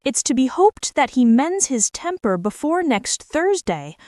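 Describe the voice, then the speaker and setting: A woman's voice: high voice, sing-song, measured pace, darkish tone, no room sound, studio quality, pitched above the performer's own voice. A woman voicing a complaining, contrary male ship's mate in a children's storybook. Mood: grumbling.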